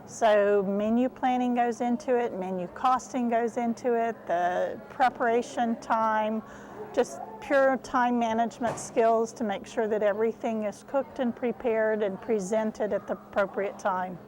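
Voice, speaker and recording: Woman explaining things in a measured way. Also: fairly high pitch, steady tone, darkish tone, rough recording. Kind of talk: interview answer.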